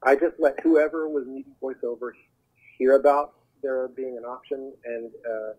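A man speaking as a talent agent guest, giving advice in an earnest, halting, conversational way over the telephone. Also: medium pitch, steady tone, dark tone. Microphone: telephone call. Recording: muffled narrow phone line.

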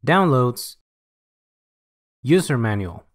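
Man narrating a tutorial, lively intonation. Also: medium pitch, slow pace. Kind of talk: scripted software tutorial narration.

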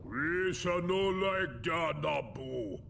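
Gravelly pompous frog-alien boss in a cartoon game, slow and blustery, noisy ambience. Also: male voice, a little high, a bit bright, heavily acted. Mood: haughty. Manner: stately broken dialect. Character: pompous, gruff amphibian alien ruler. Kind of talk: animated video game cutscene acting. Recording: game ambience noise behind.

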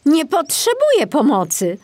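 Woman acting out a flustered, excitable male forester. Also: high voice, unhurried, slight background noise, pitched above the performer's own voice, heavily acted. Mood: defensive. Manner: hesitant, stammering when nervous.

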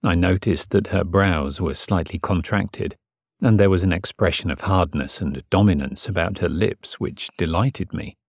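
A submissive, lovesick gentleman telling his own story in an audio drama. His voice is low and husky, earnest and measured, recorded very dry. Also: dry recording. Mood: reflective. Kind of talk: dramatised first-person audiobook narration.

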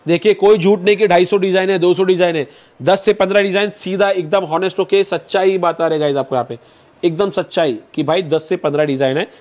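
Guy in his 30s hyping up kurtis in a shop, quick salesy talk, lapel mic. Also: high-pitched, steady tone, darkish tone. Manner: fast, persuasive salesman patter addressing viewers. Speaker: vlogger host. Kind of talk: promotional market vlog. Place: indoor clothing wholesale shop.

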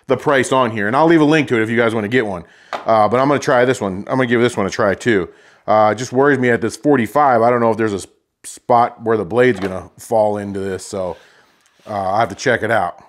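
Chatty, self-mocking middle-aged workshop man. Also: medium pitch. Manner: chatty, rambling and self-deprecating. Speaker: woodworking channel host. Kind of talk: casual how-to vlog talk. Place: small home garage workshop, indoors.

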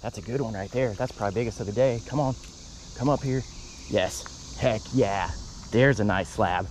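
A man vlogging while fishing outdoors by a canal, talking casually and breaking into excited exclamations. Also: lowish voice, normal speed, a little hiss or hum. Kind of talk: casual fishing vlog narration.

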